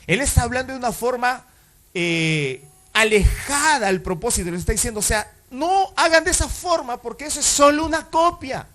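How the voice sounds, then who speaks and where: A man's voice: very high voice, lively intonation, moderate pace, fairly bright tone. A man preaching a sermon as pastor, talking conversationally and often putting rhetorical questions to the congregation, with fillers and self-corrections along the way.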